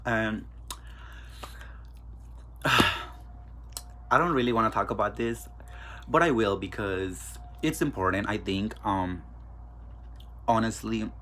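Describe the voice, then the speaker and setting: Man, mexican accent, very deep voice, unhurried, faint hum behind, loose and chatty. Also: sharp tone. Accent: Mexican Spanish. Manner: loose, profane, chatty, rambling. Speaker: podcast host. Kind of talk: casual solo podcast chat.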